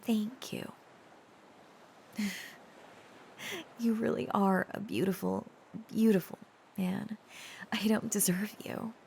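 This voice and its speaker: A woman playing a tender, protective young dragon-descended girlfriend in an intimate romantic audio roleplay, speaking gently and hesitantly in a breathy, often whispered voice. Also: medium pitch, fairly even intonation, takes it slowly, pauses often, slight background noise. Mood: tender, shy. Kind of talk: intimate roleplay audio drama, softly acted.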